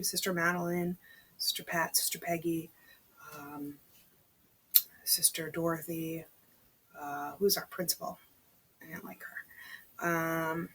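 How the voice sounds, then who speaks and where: Chatty woman reading a novel aloud, slightly husky. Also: on the low side, fairly bright tone. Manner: informal, conversational, pausing to comment to the listener. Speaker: amateur read-aloud reader.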